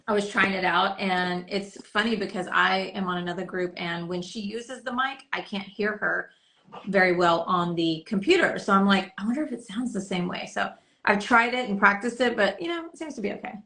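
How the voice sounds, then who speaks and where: A woman's voice: mid-range voice, fairly quick, sharp tone, a little hiss or hum. A woman coach hosting a casual live stream chat on a desktop podcast microphone, talking in a rambling way with many fillers. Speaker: host and coach.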